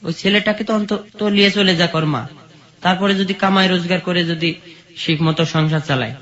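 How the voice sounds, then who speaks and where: High-voiced Bangladeshi man telling his story. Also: a little fast, a bit bright. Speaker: interview guest telling life story. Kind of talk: personal life-story narration. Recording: dull lo-fi sound.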